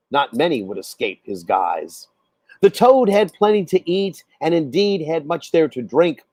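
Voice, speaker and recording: A man reciting a storybook poem in a measured, dramatic, deliberate storytelling manner. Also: fairly high pitch, a little mellow, dry recording. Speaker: poet reading own poem. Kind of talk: expressive storybook poetry recitation.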